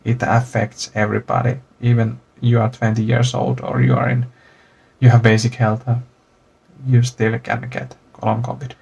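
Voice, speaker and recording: A man in his thirties from Finland, telling his own story as a patient, halting and searching for words. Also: medium pitch, level tone, vocal fry, darkish tone, breathy edge, dull audio. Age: 30s. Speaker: patient giving testimony. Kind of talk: recorded personal testimony.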